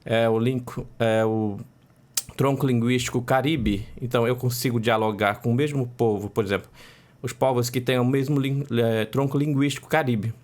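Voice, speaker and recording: A man who grew up speaking Macuxi first, answering interview questions in a measured way and tagging lists with "né?". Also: mid-range voice, fairly even intonation, darkish tone. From Roraima, Brazil. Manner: measured, listing facts with frequent "né?" tags.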